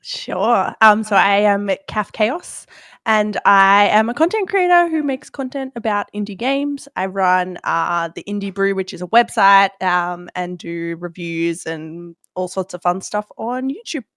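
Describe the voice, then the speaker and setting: A woman appearing as a podcast guest, relaxed and friendly, with light laughs. She is recovering from the flu, and her voice comes through dry, with no room sound. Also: mid-range voice, a little slow, no room sound. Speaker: podcast guest, content creator. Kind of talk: casual interview chat.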